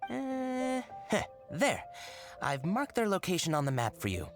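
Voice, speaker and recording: A woman's voice: medium pitch, big pitch swings, a little slow, music in the background. A woman voicing an evasive, secretive underworld informant in a video game, coy and playfully shifty. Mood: helpful. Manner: coy, hesitant cover-ups, storytelling flourish. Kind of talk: video game character dialogue.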